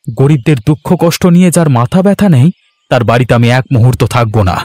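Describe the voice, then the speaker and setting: A man's voice: mid-range voice, animated, quick pace, a little mellow, lightly acted. A man playing a kindly, earnest young son of a village headman in a children's cartoon. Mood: indignant. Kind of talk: Bengali children's cartoon voice acting.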